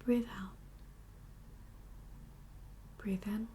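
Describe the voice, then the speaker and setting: A woman whispering an ASMR medical roleplay as a kindly doctor, gentle and reassuring in an unhurried, professional way. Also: mid-range voice, steady tone, slight background noise. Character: kindly doctor for undead patients. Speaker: ASMR performer playing a doctor.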